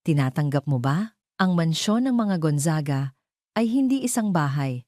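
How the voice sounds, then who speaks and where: A woman's voice: deep voice, sing-song, normal speed, darkish tone, no echo, studio quality. A Filipino woman voicing a serious, commanding billionaire boss in an audio drama, direct and weighty in manner. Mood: firm, businesslike.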